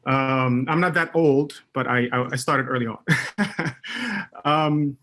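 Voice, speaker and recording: A man's voice: mid-range voice, fairly even intonation, slow, fairly bright tone. A man in his thirties from Morocco, speaking as a guest on a panel webcast, with many fillers and restarts. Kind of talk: casual panel chat.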